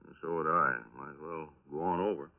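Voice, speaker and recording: Deep-voiced folksy old-time radio police lieutenant. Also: male voice, steady tone. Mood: matter-of-fact. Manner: hesitant, rambling, casual drawn-out phrasing. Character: folksy, unhurried male police detective lieutenant. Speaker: actor in a radio crime drama. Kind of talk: 1950s radio drama acting. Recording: narrow-band vintage 1950s radio recording.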